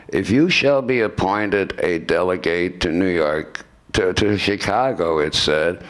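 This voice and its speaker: An elderly man reads narration from a script at a lectern microphone. His voice is very low, and he takes his time, deliberate and occasionally correcting himself. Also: a bit bright. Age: 70s. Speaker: narrator in a staged radio play by retired students. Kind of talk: scripted narration read aloud. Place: lecture room with seated audience. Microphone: microphone on stand at lectern.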